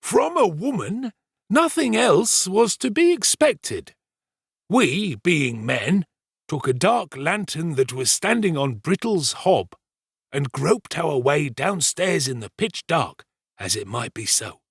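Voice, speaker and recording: A pompous manservant from a storybook , acted out by a man, full of his own importance. His voice creaks and rasps, and he draws out each emphatic phrase in great swoops of pitch. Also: sing-song, slow, dry recording, clean studio sound, full character voice. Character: pompous, self-important male servant telling his alarming adventure. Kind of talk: dramatised storybook reading.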